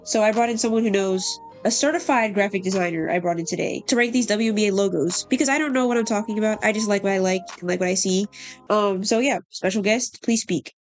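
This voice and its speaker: A woman's voice: medium pitch, quick pace, soft background music. A young woman hosting her channel, sounding congested and under the weather, chatty and blunt as she ranks logos. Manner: chatty, blunt, laughs often. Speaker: channel host. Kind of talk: casual ranking chat.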